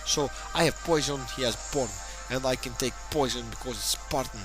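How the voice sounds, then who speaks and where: A man talks his way through a match in a loose, rambling commentary, cracking jokes and dropping swear words along the way. He sounds a bit tipsy, and his voice sits at an ordinary middle pitch. Loud game music plays under everything he says. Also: a little fast, low-quality recording. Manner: rambling, joking and profane. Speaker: gameplay commentator. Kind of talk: casual play-by-play game commentary.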